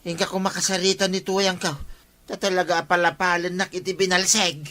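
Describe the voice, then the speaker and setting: A man's voice: high voice, little pitch movement, a little fast, sharp tone. A man acting a hapless, pleading village husband in an Ilocano comedy drama, with an Ilocano accent. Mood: sheepish pleading. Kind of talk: Ilocano radio-style comedy drama dialogue.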